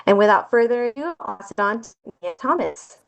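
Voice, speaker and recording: A woman's voice: medium pitch, animated, a little fast, creaky, highs cut off. Woman reading a welcome over a video call. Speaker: webinar host introducing speakers. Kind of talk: scripted welcome remarks.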